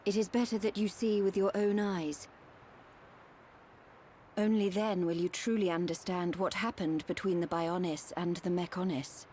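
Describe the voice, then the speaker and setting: A woman's voice: fairly low pitch, fairly even intonation, a little mellow, a little hiss or hum, close to an everyday voice. Woman as formal, knowing guide. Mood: grave. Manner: formal, measured explanation.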